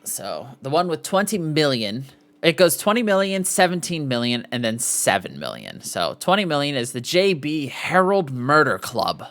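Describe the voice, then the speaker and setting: A man's voice: a little high, big pitch swings, moderate pace, a bit bright. A man hosting a casual live podcast, reading aloud and sometimes stumbling over the words. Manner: stumbles over foreign names, sounding them out. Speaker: podcast host.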